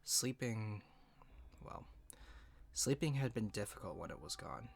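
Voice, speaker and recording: Low, gravelly young survivor played by a man. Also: animated, a little slow, a little hiss or hum. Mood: somber, wistful. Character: weary young male survivor recording a log in an abandoned city. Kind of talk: dramatic first-person audio log narration.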